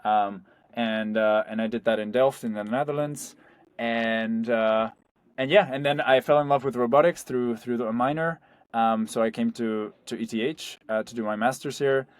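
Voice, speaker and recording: A young man from Spain, guest on a founder interview, speaking loosely and conversationally. He fills his sentences with "like" and "um" as he talks. His voice is recorded close and dry, with no room sound, at a middling pitch. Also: moderate pace, dry recording. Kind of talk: casual interview.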